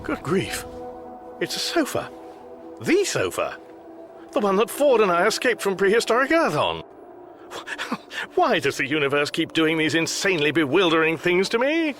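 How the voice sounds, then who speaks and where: A man's voice: high voice, wildly swooping pitch, normal speed, fairly bright tone, soft background music, heavily acted. An actor playing an anxious, bewildered Englishman adrift in space in a radio comedy, dryly and politely exasperated, with an English accent. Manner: flustered, dry, politely exasperated. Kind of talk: radio comedy drama acting.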